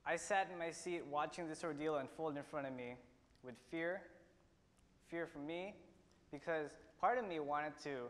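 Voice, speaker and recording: A man's voice: a little high, a bit bright. Young man telling a story in a hall. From India. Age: teens. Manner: earnest storytelling with pauses and restarts. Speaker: student speaker. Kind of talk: prepared personal talk. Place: auditorium stage. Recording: long hall reverb.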